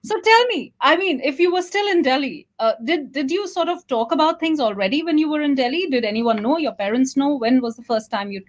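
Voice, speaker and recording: A woman's voice: high-pitched, lively intonation, a little fast, hardly any pauses. A woman hosting a talk show, chatting casually as she interviews her guests.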